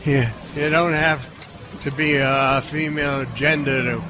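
An actor playing a jittery, strung-out drug dealer in an audio drama, stammering and groggy. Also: male voice, fairly high pitch, steady tone, unhurried, noisy background, close to an everyday voice.

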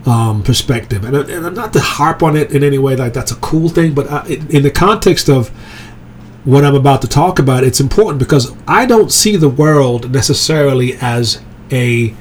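A man's voice: medium pitch, expressive, normal speed, a little hiss or hum. Man giving a casual Bible talk. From grew up in England. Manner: conversational, checks in with "okay?" and "right?". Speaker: Bible study teacher. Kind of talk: informal Bible teaching podcast.

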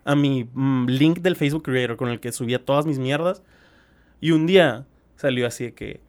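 Brief: Mexican co-host, mid-pitched voice, crude slangy banter that gets animated in retellings. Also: male voice, normal speed. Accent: Mexican Spanish. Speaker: podcast co-host. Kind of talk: casual chat.

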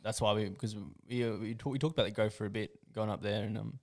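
An Australian man in his early thirties, guest on a casual podcast. He talks fast in a low voice with little rise and fall. His sentences run on with lots of "like". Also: fairly even intonation. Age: 30s. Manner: quick, full of "like". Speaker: band member, guest.

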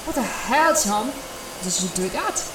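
A woman's voice: fairly high pitch, animated, a little slow, noisy background. A woman playing a blunt, exasperated female systems technician in a sci-fi comedy audio drama, with sarcastic delivery. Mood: alarmed. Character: exasperated, scolding female spaceship systems tech. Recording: roomy echo.

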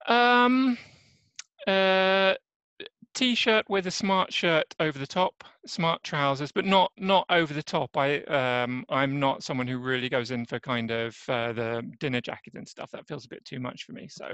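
A man's voice: fairly high pitch, a little slow, a bit bright, clean tone, no room sound, dull audio. A man with an English accent, a podcast guest chatting casually and hesitantly with many ums. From England. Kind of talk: casual interview chat.

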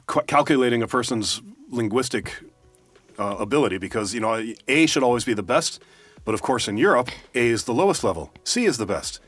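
A man's voice: medium pitch, expressive, brisk, a bit bright, soft background music. A man co-hosting a podcast in casual chat, blunt and joking, on a studio mic. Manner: blunt, joking, some swearing. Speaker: podcast co-host.

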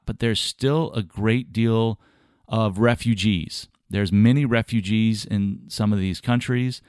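A man hosting a podcast, talking earnestly and at a measured pace with some fillers, his voice slightly scratchy from a sore throat. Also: lowish voice, darkish tone, clear, resonant tone, dry recording, clean studio sound. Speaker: podcast host. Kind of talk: podcast monologue on ministry travels.

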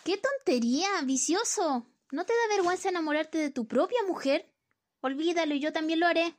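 A woman's voice: very high-pitched, a little fast. A woman playing a flippant, nagging wife. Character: petty scolding wife, flippant and bossy. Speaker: student radio drama actor. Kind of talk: amateur radio play acting.

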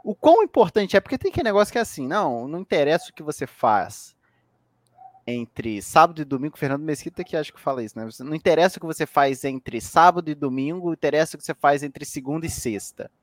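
A man hosting a casual podcast, his voice sitting a little high for a man. The sound is close and dry, with hardly any room around it. He talks loosely, in a slangy, easygoing way. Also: quick pace, dry recording. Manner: relaxed, slangy, with loose restarts. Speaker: podcast host.

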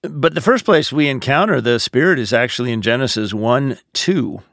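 A man's voice: medium pitch, moderate pace, creaky, fairly bright tone, no echo, studio quality. A middle-aged or older man, a pastor, explaining things in a casual, teacherly podcast style. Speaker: pastor and theology teacher answering questions.

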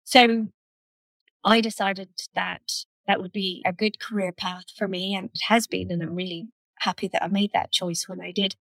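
A woman's voice: mid-range voice, fairly even intonation, moderate pace, gravelly, fairly bright tone, no room sound, poor audio. A woman in her fifties with an Irish accent, giving conversational answers as a panel guest on a video call. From Ireland. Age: 50s. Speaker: panel guest, engineering director.

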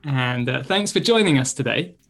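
A man hosts a podcast with a measured, polite delivery at an ordinary pitch. He opens with a scripted introduction, then moves on to questions for his guest. Faint music plays underneath. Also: animated, vocal fry, fairly bright tone. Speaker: podcast host.

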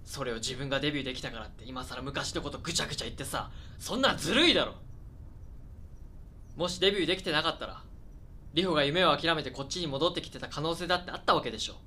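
Man acting quick-talking, defensive young guy. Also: on the high side, animated, pushed and bright, a little hiss or hum. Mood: exasperated outburst. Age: 20s. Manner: hedging, trailing off, blunt casual speech. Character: defensive, awkward young man, estranged childhood friend. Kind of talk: naturalistic radio drama dialogue.

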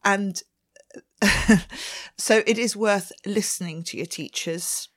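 A woman's voice: mid-range voice, takes it slowly. A middle-aged woman with a Swedish accent, a ballet teacher answering interview questions in a chatty way and laughing often. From Sweden.

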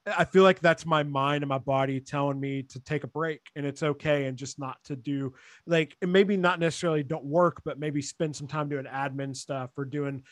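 A young man with a fairly high voice hosts a podcast interview, easygoing and rambling, with lots of filler words. The recording is dry and close, with no room sound. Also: steady tone, moderate pace, no echo. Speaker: podcast host. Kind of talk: casual interview chat.

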